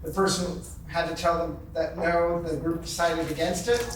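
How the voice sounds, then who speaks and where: Man, fairly high voice, conversational storytelling, panel talk, strong room echo, distant mic. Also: little pitch movement, normal speed. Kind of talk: spontaneous anecdotal talk. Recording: echoey room from far off, faint noise.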